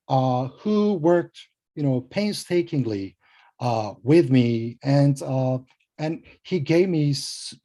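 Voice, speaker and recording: Turkish-accented male translator on a panel; mellow, halting, modest delivery. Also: mid-range voice. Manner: hesitant, many fillers and restarts, self-deprecating. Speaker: translator and poet guest. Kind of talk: conversational panel interview.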